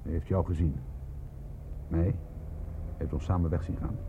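An actor playing a shrewd police inspector in a Dutch radio play, with a clipped, dryly ironic way of talking. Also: male voice, low voice, little pitch movement, normal speed. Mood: dry, knowing. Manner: clipped questioning, dry irony. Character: shrewd, dry-witted male police inspector, brusque but helpful. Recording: muffled, noisy old radio play recording.

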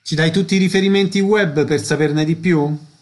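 Higher-voiced male radio host. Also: fairly even intonation, normal speed. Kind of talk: concise scripted introductions and questions.

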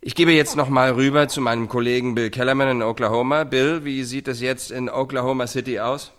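An actor playing a formal, emphatic news anchor in a radio drama. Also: male voice, medium pitch, fairly even intonation, fairly quick, fairly bright tone, natural voice. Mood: urgent, insistent. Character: earnest, theatrical news anchor of a reality show.

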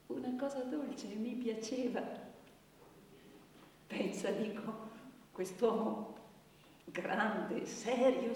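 An actress playing a wounded young woman giving halting, intense testimony about abuse in a theatrical radio drama. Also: on the high side. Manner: halting, intense, dropping to hushed tones. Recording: distant, echoey hall with faint noise.

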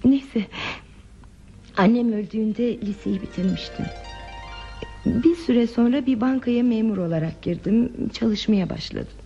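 Muffled radio theatre with music behind it. a lonely, fragile woman with a creaky, mellow voice. She confides softly and hesitantly, wistful and withdrawn. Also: fairly high pitch, unhurried, long pauses. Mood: melancholy, confiding. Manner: hesitant, confiding, soft and reflective delivery. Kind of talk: Turkish radio theatre acting.

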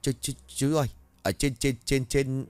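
A man's voice: mid-range voice, normal speed, no room sound, voice pushed up, close to an everyday voice. A man voicing a frightened young man who stutters and repeats words. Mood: panicked. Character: terrified stammering young man.

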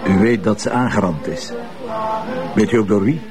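An actor playing a persistent, blunt middle-aged police commissioner with a Flemish accent and a halting, probing manner in a television crime drama. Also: male voice, fairly low pitch, music in the background, lightly acted. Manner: hesitant openings, throat-clearing, probing.

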